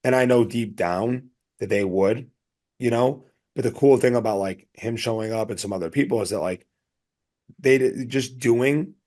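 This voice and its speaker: A man's voice: mid-range voice, normal speed, a little mellow. A man talking casually as a podcast co-host, rambling earnestly in an anecdotal way.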